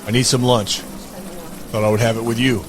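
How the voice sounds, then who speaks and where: A man's voice: mid-range voice, level tone, a little fast, background noise, close to an everyday voice. An actor playing a curt, commanding man who demands loyalty, blunt and authoritative, in an audio drama. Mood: calm, curt.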